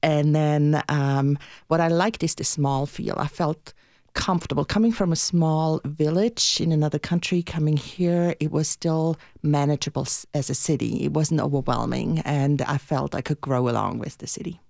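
Creaky-voiced middle-aged woman from Switzerland. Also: low-pitched, steady tone, moderate pace, darkish tone, no echo, clean studio sound. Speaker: interview guest, housing consultant. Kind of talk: conversational radio interview.

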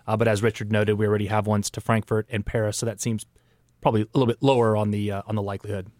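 Male panel reporter from the Netherlands, mid pitch, quick, dry mic. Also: flat delivery, darkish tone, no room sound. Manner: quick, conversational analysis. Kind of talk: panel discussion.

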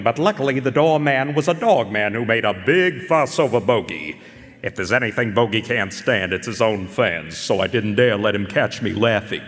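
An actor playing a rumpled, wry private eye who delivers deadpan hardboiled lines in a noir radio drama. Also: male voice, medium pitch, lightly acted. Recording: live in echoey concert hall.

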